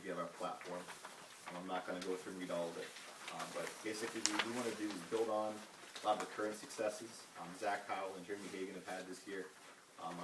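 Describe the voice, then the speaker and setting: A young man giving a halting campaign speech full of ums and uhs while losing his voice. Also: sits low. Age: young adult. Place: meeting room at a retreat. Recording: roomy, distant, noisy hall recording.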